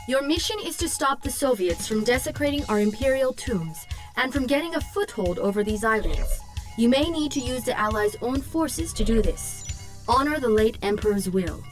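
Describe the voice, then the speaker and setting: An actress playing a calm military advisor who gives crisp, formal mission orders over game music. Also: female voice, a little high, close to an everyday voice.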